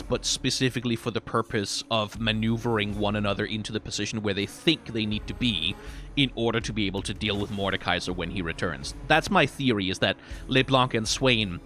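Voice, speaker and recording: A man's voice: mid-range voice, pushed and bright. A man giving casual, off-the-cuff commentary as a streamer, rambling as he thinks aloud and often checking himself with a tag question.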